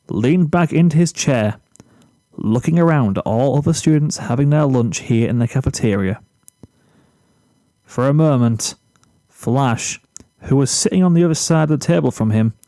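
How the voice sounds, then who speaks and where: A man's voice: mid-range voice, mellow, dry recording. A man reading scripted story narration.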